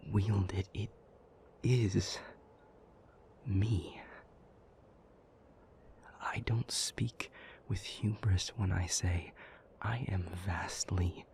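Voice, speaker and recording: Low, whispery, brooding male demon voiced by a man. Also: a little mellow, heavily acted. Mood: grave, intense. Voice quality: breathy, half-whispered. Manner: slow, deliberate, halting phrases. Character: troubled, powerful male demon confiding gravely in a lover. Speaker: audio roleplay performer. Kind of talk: intimate audio roleplay acting.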